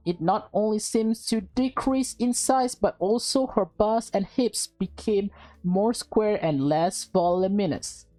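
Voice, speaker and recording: A woman's voice: medium pitch, warm tone. A woman reading a visual novel aloud as a let's play, switching between narration and casual commentary. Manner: reacts aloud in informal asides.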